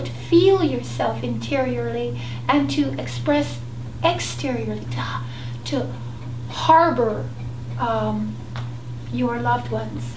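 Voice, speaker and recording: A soft-spoken woman co-hosting a tarot reading in a gentle, halting way with many pauses. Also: a little high. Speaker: tarot reader co-host. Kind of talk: casual tarot reading chat. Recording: echoey room, distant mic, noisy hum.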